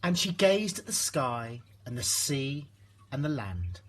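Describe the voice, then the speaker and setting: A man reading a rhyming children's storybook aloud in an expressive narrating style. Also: mid-range voice, unhurried, breathy edge. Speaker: storybook reader.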